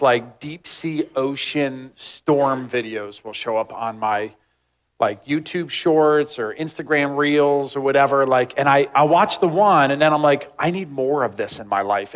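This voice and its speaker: High-voiced man giving a chatty sermon. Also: moderate pace. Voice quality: says he has a deep voice. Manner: rambling, animated, heavy use of "like". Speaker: pastor. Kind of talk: casual conversational sermon.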